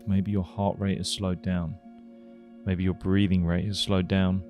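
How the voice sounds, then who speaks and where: A man leading a guided meditation, giving gentle, unhurried instructions with pauses. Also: deep voice, little pitch movement. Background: music.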